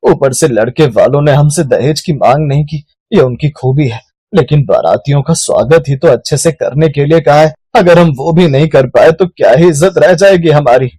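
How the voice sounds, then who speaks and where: Village farmer and father , acted by a man: earnest, rather high husky voice, dry cartoon recording. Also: normal speed, warm tone, no echo. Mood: worried. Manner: lamenting, earnest. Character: anxious male village farmer, a worried father.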